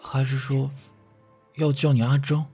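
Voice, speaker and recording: A man's voice: medium pitch, little pitch movement, a little slow, warm tone, soft background music, close to an everyday voice. A man playing a mild, patient young student in an audio drama. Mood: gentle. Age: young adult. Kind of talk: Chinese audio drama acting.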